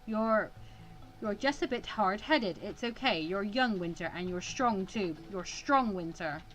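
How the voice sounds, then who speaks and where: A woman voicing a stern, cold male mentor in a visual novel, speaking in a measured, firm way. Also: medium pitch, lively intonation, fairly bright tone, slight background noise, rough recording. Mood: reassuring.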